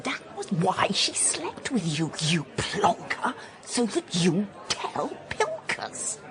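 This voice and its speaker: A woman's voice: mid-range voice, wildly swooping pitch, slow pace, a crowd in the background. An actress playing a blunt, slangy, exasperated young English woman in a radio comedy drama. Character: blunt, exasperated posh young English friend explaining gossip. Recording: crowded pub ambience.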